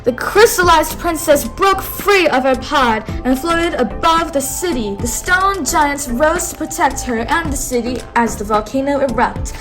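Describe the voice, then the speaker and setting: A woman reading a picture book aloud in a clear, teacherly way, pausing to have a child repeat key words. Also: sits high, lively intonation, a bit bright, soft background music, low-quality recording. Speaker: read-aloud host.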